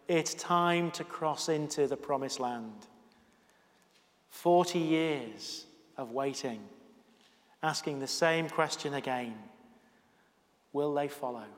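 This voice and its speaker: A male preacher giving a sermon in a church, chatty with self-deprecating asides. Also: a little high. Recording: long church reverb.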